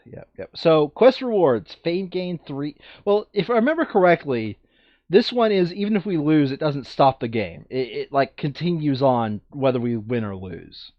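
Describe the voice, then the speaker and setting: A man's voice: fairly high pitch, animated, moderate pace, no room sound. Casual male gamer on a dull, dry mic. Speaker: tabletop game player and narrator. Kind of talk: casual board game play commentary.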